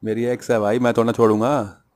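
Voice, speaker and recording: A man's voice: medium pitch, flat delivery, fast, over a noisy crowd. A man, chatting casually and arguing as a prank-call vlogger. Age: 20s. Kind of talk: casual chat and phone argument. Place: small furnished room at home, indoors. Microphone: small clip microphone held near the mouth.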